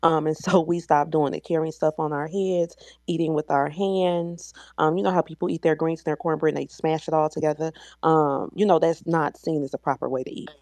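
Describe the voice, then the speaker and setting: A woman's voice: deep voice, steady tone, brisk, hardly any pauses, darkish tone, no room sound. A woman with an American accent speaking as a guest in an online discussion, explaining things in an assertive, teacherly way.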